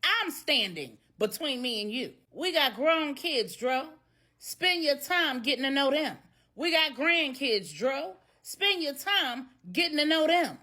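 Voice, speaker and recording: A woman's voice: sits high, lively intonation, measured pace, bright, forceful tone, voice pushed up, heavily acted. A woman voicing a fed-up, fearless older American grandmother in a storybook tale, with sassy, blunt, emphatic talk. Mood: scolding, defiant.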